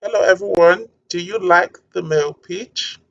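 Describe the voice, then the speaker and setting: A woman demonstrating a man's voice through a pitch-changing voice effect. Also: normal speed, vocal fry, no echo. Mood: flat demonstration. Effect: male pitch voice changer. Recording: dull, dry processed audio.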